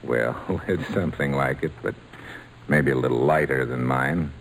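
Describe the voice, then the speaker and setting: A man playing a big-hearted baseball slugger, gruff but kind, in old-time radio drama style with folksy, plain speech. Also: a bass voice, normal speed, a little hiss or hum. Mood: kindly, encouraging.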